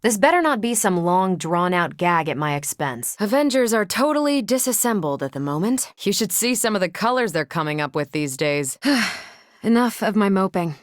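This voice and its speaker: A woman's voice: mid-range voice, sing-song, moderate pace, no room sound, studio quality, close to an everyday voice. A woman playing a confident, wry female superhero soldier in a video game, breezy and assured in her delivery. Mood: teasing, dry. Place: dry studio recording.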